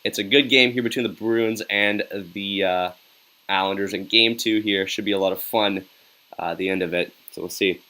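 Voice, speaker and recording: A young man runs a casual live stream, reading out viewer comments and hyping his chat with a steady stream of "yo". His voice is bright and forceful, pitched slightly low. He keeps a loose, friendly, talkative energy throughout. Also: normal speed. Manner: upbeat and chatty, says "yo" a lot, hypes up viewers. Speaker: live-stream host reacting to a hockey game.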